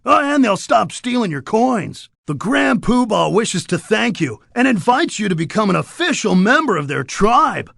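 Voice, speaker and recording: A man voicing a laid-back but excitable, cowardly surfer-dude creature in a cartoon game, full of easygoing slang. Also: high-pitched, moderate pace, gravelly, few pauses, no echo, studio quality, exaggerated voice. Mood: triumphant. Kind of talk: scripted cartoon game dialogue.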